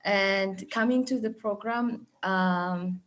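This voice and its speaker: A woman's voice: mid-range voice, a bit bright. Earnest woman from Ethiopia on a call. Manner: earnest, halting with fillers. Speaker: program alumna. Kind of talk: online info session talk. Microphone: video call.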